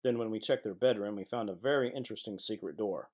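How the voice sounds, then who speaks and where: A man's voice: lowish voice, quick pace, a little mellow, close to an everyday voice. A man playing a brusque noir police chief. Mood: impatient, commanding. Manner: brusque, businesslike. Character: gruff, no-nonsense male city police chief. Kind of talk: noir audio drama acting.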